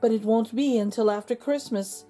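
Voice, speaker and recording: A gentle, tired village father from a storybook, voiced by a woman reader. He speaks tenderly and seriously in a mellow tone, over faint music. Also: a little high, normal speed, lightly acted. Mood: tender, grave.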